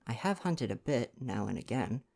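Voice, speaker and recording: A man voicing an earnest, politely argumentative gentleman sidekick in a story reading. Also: mid-range voice, very animated, moderate pace, creaky. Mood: bewildered. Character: Poirot's male sidekick, earnest, mildly argumentative English gentleman.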